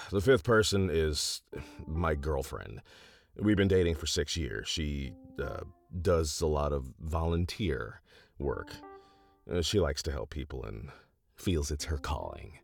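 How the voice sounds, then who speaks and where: An actor playing an exasperated, sarcastic boyfriend in an audio sitcom, sardonic and bickering. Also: male voice, very deep voice, expressive, slow pace, vocal fry, faint music behind, exaggerated voice. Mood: resigned. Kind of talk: sitcom audio theatre acting.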